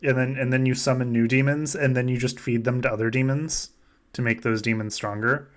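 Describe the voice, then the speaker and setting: A man's voice: mid-range voice, steady tone, fairly quick. Jokey, sweary man chatting casually. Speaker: podcast host running a fan survivor game. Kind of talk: casual joking chat.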